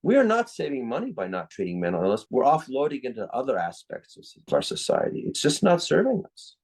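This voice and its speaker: A man's voice: medium pitch, wildly swooping pitch, quick pace, a little mellow, breathy edge. A man with an American accent speaking as an expert guest in an interview, explaining his opinions in an earnest, emphatic and opinionated way. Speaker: guest, psychiatrist and author.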